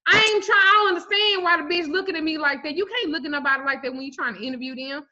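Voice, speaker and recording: A woman's voice: high-pitched, fast talker. Blunt, profane woman in her forties. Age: 40s. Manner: blunt, profane, animated storytelling. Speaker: spiritual reader and root worker. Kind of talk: casual livestream talk. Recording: muffled lo-fi stream audio.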